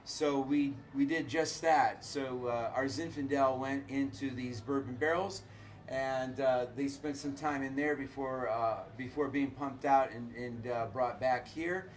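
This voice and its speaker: A hoarse middle-aged man, a winemaker, talking casually and haltingly with many filler uhs. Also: medium pitch. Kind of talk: casual chat.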